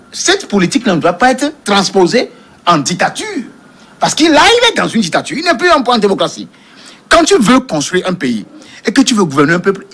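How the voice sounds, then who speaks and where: A man's voice: sits high, fairly bright tone, husky. A man debating politics as a panel commentator, emphatic and repeating words to drive his point home.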